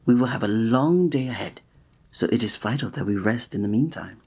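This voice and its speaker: Man playing a soft, breathy, gallant fantasy innkeeper. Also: mid-range voice, animated, a little fast. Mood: polite, attentive. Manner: formal, old-fashioned, gallant, gentle phrasing. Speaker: ASMR roleplay performer. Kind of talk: scripted romantic ASMR roleplay.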